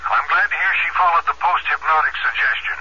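Polite, hesitant doctor, lighter-pitched, radio drama delivery, muffled broadcast sound. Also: male voice, quick pace, pushed and bright. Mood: courteous, puzzled. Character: Dr. Wade, courteous, mildly puzzled male doctor. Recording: noisy, dull telephone-style radio sound.

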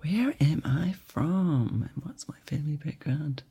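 Woman, 60s, new zealand accent, podcast guest, low husky voice, mellow, thoughtful. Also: expressive, measured pace. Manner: thoughtful, hesitant, repeats questions. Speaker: podcast guest, sex coach. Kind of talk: casual interview chat.

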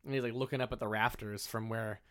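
A man's voice: fairly low pitch, fairly even intonation, fast, fairly bright tone. A man co-hosting a podcast, chatting casually about a film with wry, sweary interjections. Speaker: podcast co-host. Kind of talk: casual film recap chat.

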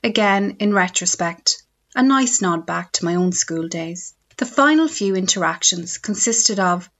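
A woman's voice: medium pitch, animated, unhurried, a bit bright, muffled recording. Wry Irish woman reading a column. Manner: wry, self-mocking storytelling. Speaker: radio essayist. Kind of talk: scripted humorous column read on radio.

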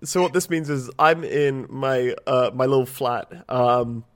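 A man co-hosting a casual podcast from his small flat, rambling a little with ums and restarts. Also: mid-range voice, unhurried. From Australia. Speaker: podcast co-host. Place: small home flat, blanket around the mic. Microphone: home podcast microphone.

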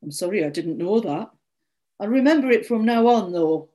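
A woman's voice: mid-range voice, expressive, moderate pace, dark tone, lightly acted. A woman voicing a nervous, apologetic teenage boy in a story, meek and hesitant, with a stammer.

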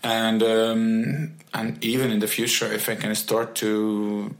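Man speaking English, halting. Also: on the low side, level tone, echoey room. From Egypt. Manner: halting, with frequent restarts and fillers. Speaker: musician guest. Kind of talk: interview answers.

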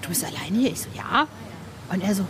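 A woman's voice: mid-range voice, animated, fairly quick. A woman hosting a casual interview, talking in a chatty, informal, slangy way. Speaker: podcast host, interviewer. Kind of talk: casual chat. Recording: clear background noise.

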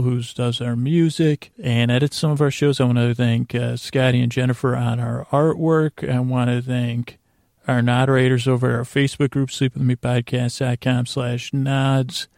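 Guy doing a sleepy podcast ramble, super creaky soft mellow voice, lulling and halting, really dry close sound. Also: mid-range voice, level tone, no room sound. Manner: meandering, halting with odd pauses and repeated words. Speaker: podcast host. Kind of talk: rambling, soothing bedtime monologue.

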